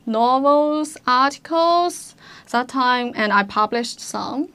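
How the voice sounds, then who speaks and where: Slow, halting young woman, Chinese native speaker. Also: high-pitched, expressive, a bit bright, clean tone. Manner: halting, repeats words, searches for English. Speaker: guest. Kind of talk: casual interview chat.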